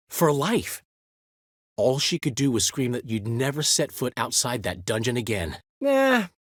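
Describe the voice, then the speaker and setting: Showy bard, vain, charming and talkative; lively pitch, a slightly husky edge, dry close recording. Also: male voice, no room sound. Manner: theatrical, wry and boastful. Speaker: game character. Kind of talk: game dialogue voice acting.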